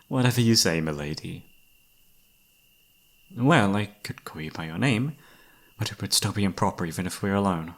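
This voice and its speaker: A man's voice: low voice, animated, moderate pace, pauses often. A man playing a devoted young knight in a romantic fantasy ASMR roleplay, speaking in a courtly yet playfully teasing manner. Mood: teasing, fond. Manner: formal, courtly, playfully teasing, soft-spoken sighs.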